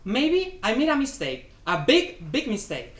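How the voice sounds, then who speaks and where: A woman's voice: on the high side, wildly swooping pitch, unhurried. A woman acting a proud, stubborn Cuban husband in a dramatic play reading, emphatic and domineering, with Spanish endearments mixed in. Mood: angry. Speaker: student actor. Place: indoor room, live play reading. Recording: echoey room with faint background noise.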